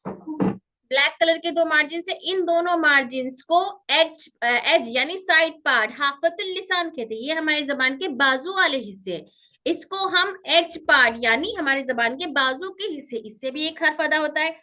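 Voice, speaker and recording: A woman's voice: high voice, moderate pace, pushed and bright. A woman teaching a pronunciation class online, patiently repeating each term and checking that her students follow.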